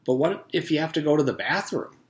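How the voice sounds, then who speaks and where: A man's voice: a little high, expressive, brisk, pitched above the performer's own voice. A man reads as a young schoolgirl who is puzzled and worried. The voice rises well above his usual talk, with an earnest, questioning storybook delivery.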